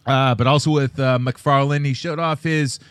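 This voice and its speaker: A man's voice: medium pitch, fairly even intonation, moderate pace, a bit bright, smooth, faint music behind. A laid-back man chatting casually about toy releases, laughing often and swearing easily.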